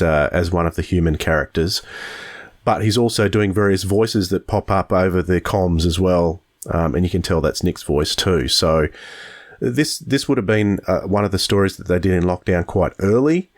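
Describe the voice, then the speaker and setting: Low pitched guy doing casual podcast review chat, restarts his sentences a lot, measured. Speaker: podcast co-host.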